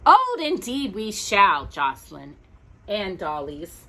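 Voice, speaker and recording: A woman's voice: medium pitch, wildly swooping pitch, slow, sharp tone, heavily acted. A woman voicing friendly, welcoming little toy dolls in a storybook, sweet and happy.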